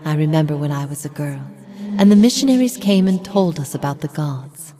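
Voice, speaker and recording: Fantasy game role: steadfast heretic prophet. Grave, measured, formal, on the low side. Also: female voice, expressive, mellow, faint music behind. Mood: reflective, earnest. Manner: solemn, measured, formal phrasing. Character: grave, steadfast female heretic prophet haunted by past lives. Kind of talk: dramatic fantasy game voice acting.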